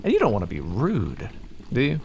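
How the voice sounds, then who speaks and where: A man's voice: fairly low pitch, huge pitch swings, fairly quick, gravelly, darkish tone, a little hiss or hum, full character voice. A man voicing a smug, playfully menacing vampire captor in an ASMR roleplay, speaking to the listener in a theatrical, condescending and teasing way. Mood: coolly businesslike. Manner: condescending, theatrical, teasing drawl with pet names.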